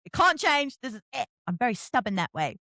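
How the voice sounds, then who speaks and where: A woman's voice: fairly high pitch, sing-song, a little fast, sharp tone, no echo, clean studio sound. A woman hosting a casual book chat, effusive and emphatic, repeating herself for emphasis. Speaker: podcast host interviewing an author.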